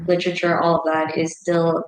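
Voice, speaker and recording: A woman's voice: deep voice, monotone, brisk, roomy sound, slight background noise, low-quality recording. A woman on a panel speaking in a reflective, musing way. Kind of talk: panel discussion.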